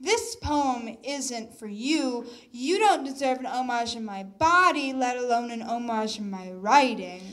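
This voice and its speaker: A woman's voice: a little high, lively intonation, unhurried, few pauses, fairly bright tone. A teenage young woman performing spoken-word poetry at a stand microphone on an indoor stage. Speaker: student slam poet. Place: indoor event room, on stage. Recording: live stage microphone in a room.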